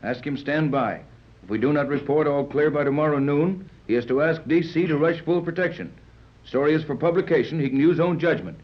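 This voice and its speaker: An actor playing a commanding, level-headed yacht captain who gives clipped orders in a 1930s radio serial. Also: male voice, a little high, brisk, natural voice. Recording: dull, muffled 1930s radio recording.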